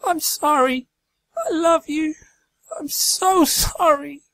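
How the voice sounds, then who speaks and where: Brash cartoon pegasus mare, played by a man, very high and strained, desperate, dry close recording. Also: slow, bright, forceful tone, no room sound, exaggerated voice, poor audio. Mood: grieving. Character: brash female pegasus pony, distressed and desperate.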